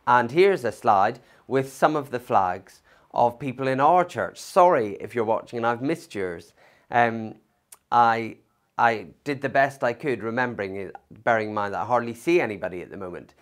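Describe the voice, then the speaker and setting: A man's voice: mid-range voice, lively intonation. Guy preaching a christmas message, chatty, lots of ums, voice goes up and down a lot. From not from Liverpool. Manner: conversational, thoughtful, with pauses and fillers. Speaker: pastor. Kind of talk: Christmas sermon.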